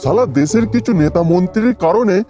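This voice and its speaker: A man's voice: high voice, animated, a little fast, warm tone, soft background music. A man voicing a grumbling but friendly shopkeeper uncle in a comic cartoon, complaining in a familiar way.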